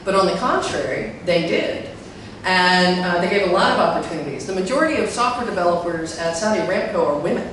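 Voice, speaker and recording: A middle-aged woman with a deep, low voice speaks at a live conference, chatty and loose, with plenty of fillers and questions for the crowd. She talks into a handheld mic, but the recording catches her from a distance in a very echoey hall. A faint hum of room noise sits under her voice. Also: animated, fairly quick, fairly bright tone. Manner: chatty, many fillers, asks the audience questions. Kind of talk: live tech talk to an audience. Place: large hall with a projector screen.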